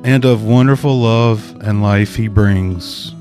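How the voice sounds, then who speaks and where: A man's voice: on the low side, takes it slowly. A man voicing a kind, reassuring father in a rhyming Christmas story. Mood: gentle. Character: kind, steady young father. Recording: guitar music underneath. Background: acoustic guitar.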